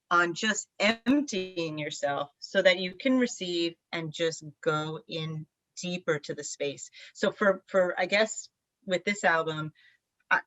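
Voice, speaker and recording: A woman's voice: fairly low pitch, a bit bright, no room sound. Reflective woman, dry lo-fi sound. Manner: reflective, rambling with many fillers and restarts. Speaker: band singer, interview guest. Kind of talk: casual interview chat.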